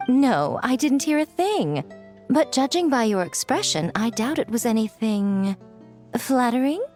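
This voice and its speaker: Sly, elegant lady, lilting high voice. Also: sing-song, unhurried, darkish tone. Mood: sly teasing. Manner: coy, drawling, mock-plaintive. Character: sly, teasing, elegant lady editor, coolly amused. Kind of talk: video game voice acting. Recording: music behind the voice.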